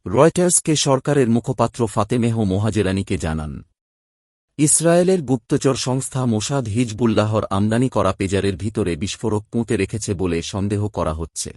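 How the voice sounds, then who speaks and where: A man's voice: lowish voice, darkish tone, dry recording, studio quality. A man with an Indian Bengali accent reading scripted news narration as a presenter.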